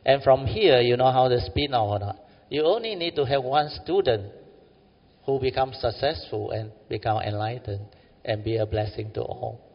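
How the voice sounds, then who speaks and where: A man's voice: medium pitch, normal speed, big-room sound, dull audio. A man with a Malaysian English accent giving a Buddhist dharma talk in a meditation center, colloquial and exhorting, often tagging "huh?" onto his sentences. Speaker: Buddhist teacher.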